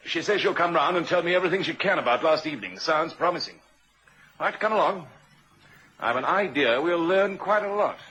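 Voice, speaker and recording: Husky, probing police inspector. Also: male voice, on the high side, bright, forceful tone, heavily acted, rough recording. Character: shrewd, probing male police inspector playing a hunch. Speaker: radio drama actor. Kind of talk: vintage radio crime drama acting. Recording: vintage radio drama recording.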